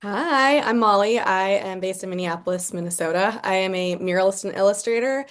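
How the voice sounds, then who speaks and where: Woman guest on a video call, chatty and friendly, middle pitched voice. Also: fairly quick. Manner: chatty, friendly, many fillers. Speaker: muralist and illustrator, guest speaker. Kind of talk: casual introduction on a webinar.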